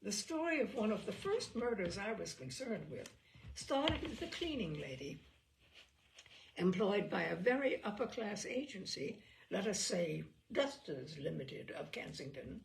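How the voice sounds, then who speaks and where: A woman's voice: mid-range voice, big pitch swings, measured pace, vocal fry, a little hiss or hum, rough recording. A woman reading a story aloud with dry, wry storytelling. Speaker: reader of stories.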